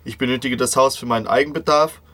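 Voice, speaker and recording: Male radio interviewer, average pitch, interview voice-over. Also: steady tone, quick pace, bright, forceful tone.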